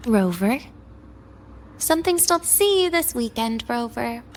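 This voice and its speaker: A woman's voice: fairly high pitch, sing-song, unhurried, a little hiss or hum. An actress playing a guarded, world-weary young woman student in a video game, wry and resigned. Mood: melancholy, guarded. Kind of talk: English video game voice acting.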